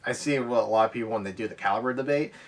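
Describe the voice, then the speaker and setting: A man co-hosting a hobby podcast, recorded some way off from the microphone. He talks casually and off the cuff, explaining as he goes in loose, run-on sentences, at a middling pitch. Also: very fast. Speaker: co-host of an airsoft podcast. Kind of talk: casual unscripted chat. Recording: voice distant from the microphone.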